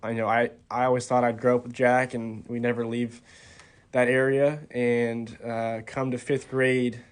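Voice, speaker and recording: A young man in his twenties talks casually in an interview. His voice stays flat and level with little rise and fall. He pauses often with soft ums and restarts his sentences. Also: mid-range voice, clear, resonant tone. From Texas. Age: 20s. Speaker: college golfer, podcast guest.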